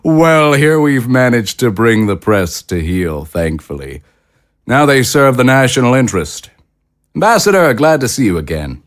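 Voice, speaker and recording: An actor playing a courtly, self-assured and smoothly authoritarian consul in an audio drama, speaking with formal, pompous politeness. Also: male voice, mid-range voice, very animated, normal speed, natural voice. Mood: suave, self-assured.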